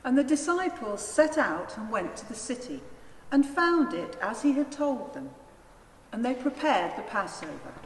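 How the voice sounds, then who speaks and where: A woman's voice: a little high, sing-song, a little slow. A woman narrating a dramatised Gospel in a formal, declamatory reading style. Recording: echoey church hall, faint noise.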